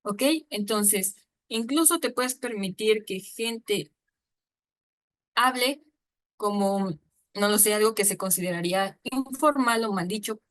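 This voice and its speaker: Woman lecturer, chatty, mid-pitched, informal, lots of asides. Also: slow pace, a bit bright. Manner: chatty, with frequent fillers and asides. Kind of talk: informal online talk on storytelling.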